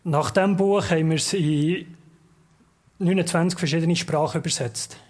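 A man's voice: on the high side, a little mellow. A man interpreting a guest speaker's talk phrase by phrase in a church. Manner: quick rendering, occasional restarts. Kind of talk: live consecutive interpreting.

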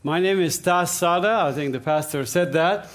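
High-voiced man giving testimony. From Gaza Strip. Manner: speaks in short phrases with pauses for translation. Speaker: guest speaker. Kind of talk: testimony talk with live interpreter. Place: church.

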